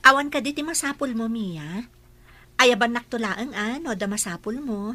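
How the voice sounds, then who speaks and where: A bright, forward voice, fairly high, belonging to a young Filipina housemaid acted by a woman. She is anxious and deferential, speaking with polite hesitation in a radio-style drama. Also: lively intonation, moderate pace, no echo. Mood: obliging.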